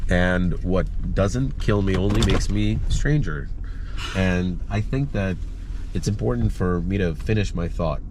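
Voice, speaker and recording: A man with a low voice and a congested nose rambles on with dry, self-mocking sarcasm. He talks from inside a car, and steady road noise hums under his words. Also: a little slow. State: stuffy nose from a cold. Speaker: vlogger. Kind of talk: casual chat while driving.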